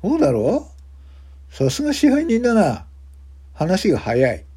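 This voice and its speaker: A man voicing a menacing, foul-mouthed gangster hotel guest, domineering and curt, with rough slangy speech. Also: sits high, wildly swooping pitch, unhurried, voice pushed up, full character voice. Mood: smug, bossy. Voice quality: loud, rough shouting when angered.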